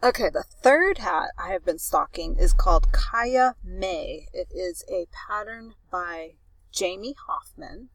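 A woman's voice: lowish voice, lively intonation, unhurried, dry recording, rough recording. Chatty, enthusiastic woman podcast co-host. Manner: chatty, enthusiastic, trails off into fillers. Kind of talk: casual chat. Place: outdoors at a beach.

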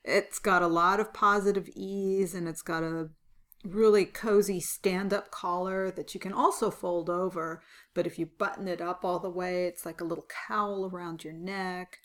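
Relaxed woman with a slightly scratchy voice. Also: lowish voice, normal speed. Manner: relaxed, chuckling, describes details. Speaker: podcast co-host. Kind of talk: casual chat.

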